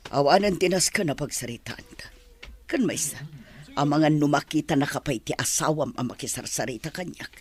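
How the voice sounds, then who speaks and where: A woman's voice: sits low, a little fast, slight background noise, natural voice. A woman playing a hurt, bitter middle-aged teacher and estranged wife in a Filipino radio drama, with an emotional, reproachful delivery. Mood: guarded.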